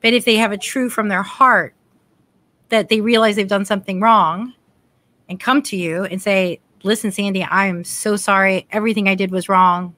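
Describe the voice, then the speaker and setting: A woman's voice: mid-range voice, steady tone, moderate pace, no echo. A middle-aged woman hosting a casual spiritual chat over a video call from her home, talking chattily and reading scripture aloud. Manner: chatty, warm affirmations, reads scripture aloud. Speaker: podcast host. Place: home room, indoors. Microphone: desk mic on video call.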